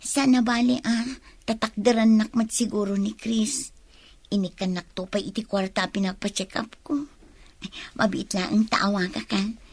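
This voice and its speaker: A woman playing a trusting, lovestruck young daughter, soft and earnest, in a radio drama. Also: mid-range voice, flat delivery, moderate pace, no room sound, lightly acted. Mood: hopeful, uneasy. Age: young adult.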